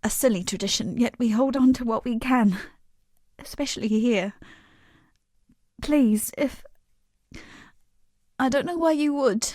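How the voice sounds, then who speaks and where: A dry, close recording of a young exile woman in a dramatic fantasy game. Her voice sits fairly high, and she speaks with guarded, earnest grief. The delivery is subdued and halting. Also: darkish tone, dry recording. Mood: stricken, grieving. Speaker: game non-player character. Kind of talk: dramatic video game voice acting.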